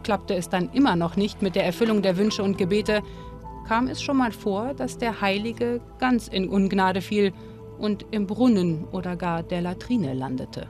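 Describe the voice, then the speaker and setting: A woman's voice: mid-range voice, moderate pace. Woman narrating over music. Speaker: documentary narrator. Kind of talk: scripted narration. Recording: studio voice-over with clear music underneath.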